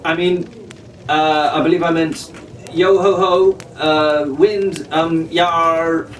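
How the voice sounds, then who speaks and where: A man's voice: a little high, fairly even intonation, slow pace, pitched above the performer's own voice. Man acting a teenage aristocrat, proper, haughty, storybook style, echoey room, faint noise. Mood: awkward. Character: stiff, disgruntled teenage nobleman's son. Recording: roomy, distant mic with faint noise.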